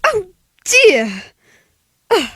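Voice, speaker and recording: A woman's voice: very high voice, wildly swooping pitch, extremely slow, sharp tone, slight background noise, voice pushed up, full character voice. A woman playing an anxious, demanding, frail invalid wife in a 1940s-style radio play, fretful and given to breathless exclamations. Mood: fretful, nervous.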